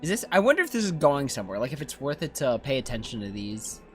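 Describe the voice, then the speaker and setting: A man's voice: mid-range voice, very animated, fast. A man doing casual, chatty playthrough commentary as a game streamer, musing aloud. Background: faint game music.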